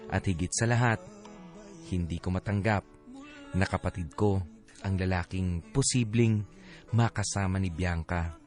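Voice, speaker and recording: Radio drama narrator: a heartbroken man, low voice, confessional, music bed. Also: a little slow, pauses often, a little mellow. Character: heartbroken adult man recounting his life story.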